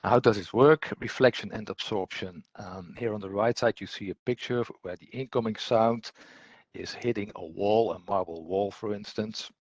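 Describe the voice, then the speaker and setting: A man giving an explanatory technical presentation as a trainer, unscripted, in a halting, hesitant way with repeated words. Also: on the low side, no room sound.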